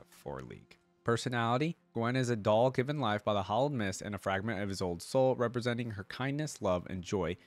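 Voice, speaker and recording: A man hosting a reaction video, reading lore aloud and adding informal commentary as he thinks out loud. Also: fairly low pitch, no echo. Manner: informal, thinking aloud between read passages.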